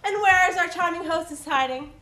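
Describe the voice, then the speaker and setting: A woman's voice: very high voice, brisk, sharp tone, reverberant, a little hiss or hum. A woman playing a pampered, snobbish society woman, with an affected and theatrical drawl. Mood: haughty.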